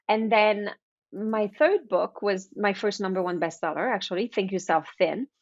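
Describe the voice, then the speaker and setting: A chatty woman whose first language is French talks as a guest in an interview, restarting sentences as she goes. Her voice sits at a middle pitch, close and dry. The sound is a little dull. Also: moderate pace, no echo. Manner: chatty, with frequent restarts and acted-out inner thoughts. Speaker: guest author. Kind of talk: casual interview chat.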